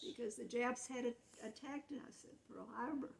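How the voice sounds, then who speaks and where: A woman's voice: on the high side, moderate pace, creaky, a little hiss or hum. An American woman in her eighties telling childhood memories to family in a casual, explanatory, matter-of-fact way. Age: 80s. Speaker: grandmother recalling her childhood.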